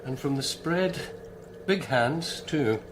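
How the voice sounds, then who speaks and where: Measured Scottish pathologist, noisy background. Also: male voice, medium pitch, expressive. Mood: measured, clinical. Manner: measured, precise, clinical. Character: measured, wry senior male forensic pathologist. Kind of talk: naturalistic radio drama acting.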